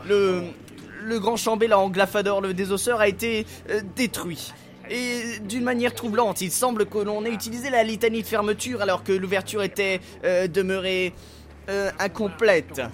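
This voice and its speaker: A man plays an obsequious cult priest in an audio drama. His voice is high for a man, reverent and formally ceremonious. Faint music runs underneath. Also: fairly even intonation, moderate pace, natural voice. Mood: deferential, formal. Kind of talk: French audio drama acting.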